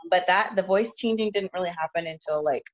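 Chatty woman guest on a muffled phone line. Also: a little fast. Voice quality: lost her upper register, no longer high. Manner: chatty, loose, many fillers and restarts. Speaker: guest, competitive lifter. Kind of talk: casual interview over a phone call. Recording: muffled phone-call audio.

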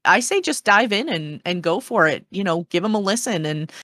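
A woman's voice: medium pitch, animated, normal speed, fairly bright tone, no echo, studio quality. A woman in a casual discussion, explaining in an earnest, encouraging and meandering way as a panel guest.

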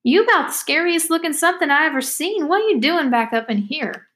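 A woman's voice: high voice, lively intonation, a little fast, a bit bright, voice pushed up. A woman voicing a ragged, talkative, hungry runaway girl with blunt rustic speech in a story reading. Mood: blunt curiosity.

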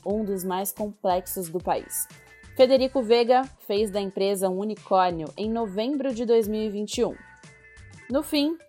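A woman reads a scripted news feature in a mid-range voice. Her delivery is measured, and she turns now and then to talk straight to the listener. Soft music runs faintly under her. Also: medium pitch. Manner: measured, addresses the listener directly. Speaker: radio reporter and narrator.

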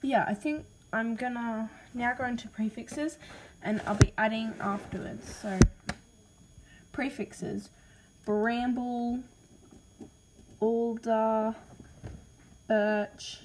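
A woman's voice: fairly high pitch, steady tone, slow pace, pauses often, darkish tone, a little hiss or hum, low-quality recording. A woman with an Australian accent, casually reading out name ideas in a voice message, hesitant and apologetic with lots of ums. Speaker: podcaster listing name ideas.

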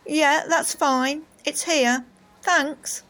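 A woman playing a calm, sly shopper. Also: sits high, very slow, full character voice. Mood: polite. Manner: calm, polite, matter-of-fact. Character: cool sly female shopper, forties, shoplifter.

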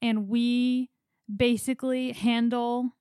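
A woman's voice: on the high side, little pitch movement, slow pace, dark tone, clear, resonant tone, no echo, studio quality. A young woman co-hosting a casual podcast, talking at length in a rambling, anxious way. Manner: chatty, rambling, anxious storytelling. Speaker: podcast co-host. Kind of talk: casual chat.

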